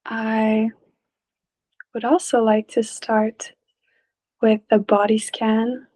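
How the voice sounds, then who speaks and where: A woman leading a guided meditation in a gentle, calm, unhurried way, leaving long pauses between short phrases. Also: on the high side. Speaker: meditation teacher.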